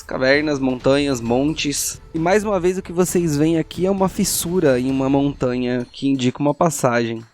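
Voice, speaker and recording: A Brazilian man narrating a tabletop game as game master, describing scenes in a measured way with deliberate pauses. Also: medium pitch, a little mellow. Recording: music behind.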